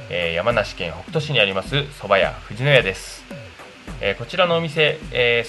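A man with a low voice presents a radio food report. He speaks politely, setting out each short phrase in a measured way, with faint music behind him. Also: sharp tone.

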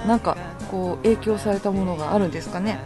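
A woman's voice: mid-range voice, fast talker. A woman hosting a radio talk show in a polite, conversational way. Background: music.

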